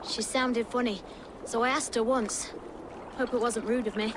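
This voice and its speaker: A woman's voice: a little high, steady tone, moderate pace, close to an everyday voice. A woman voicing a small, helpful, chatty child in a video game, artless and thoughtful. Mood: earnest, helpful. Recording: noisy waterside outdoor ambience.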